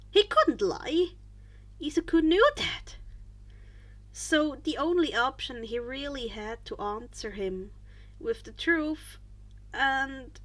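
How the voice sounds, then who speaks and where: A woman reading fan fiction aloud as an expressive story narrator. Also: high-pitched.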